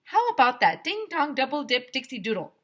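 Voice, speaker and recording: A woman's voice: high voice, very animated, brisk, voice pushed up. A woman voicing an impatient schoolchild in a storybook, pleading and insistent. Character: impatient schoolchild craving ice cream.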